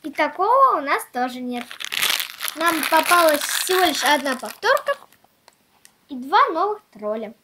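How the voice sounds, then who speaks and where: High-pitched female unboxing vlogger. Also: sing-song, a little slow, pauses often, noisy background. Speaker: toy unboxing channel host. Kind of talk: casual unboxing vlog chat.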